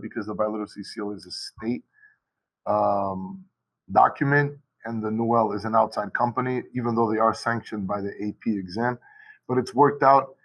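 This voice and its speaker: A man who teaches high school Portuguese, talking casually and at length on a video call from his school, with many restarts and asides. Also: a bit bright, rough recording.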